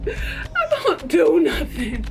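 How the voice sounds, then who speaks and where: A woman vlogger telling a story casually and with animation, in a sassy, slangy way with drawn-out emphasis. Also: very high-pitched, wildly swooping pitch, gravelly. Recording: music behind the voice. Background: background music.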